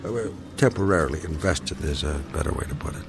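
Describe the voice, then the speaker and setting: An actor playing an obsessed, irascible older husband in a radio drama, speaking in a pompous, ranting, formal way. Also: male voice, a bass voice, lively intonation, fast talker, creaky, heavily acted. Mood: agitated, impatient. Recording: radio drama with music underneath.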